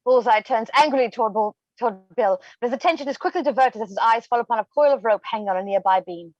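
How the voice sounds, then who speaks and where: A woman's voice: a little high, fast, darkish tone, no room sound, highs cut off. A woman narrating scripted stage directions for a play reading.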